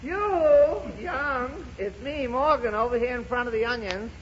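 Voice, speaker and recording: A man's voice: very high voice, unhurried. A man playing a coy, giggly house husband in a 1930s radio comedy, with a fluttery, fussy manner. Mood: calling out. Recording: dull, noisy vintage 1930s radio recording.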